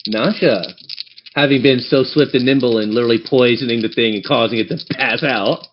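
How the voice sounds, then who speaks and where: A man's voice: mid-range voice, brisk, a little hiss or hum. Male game master, off-the-cuff tabletop storytelling, slightly dull recording. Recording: dull lo-fi band-limited audio.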